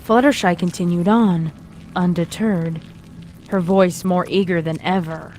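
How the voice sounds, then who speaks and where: A woman's voice: lowish voice, steady tone, a little slow, a little mellow. A woman reading a horror story as its narrator, in a dramatic scripted style. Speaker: fan fiction narrator. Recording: music behind the reading.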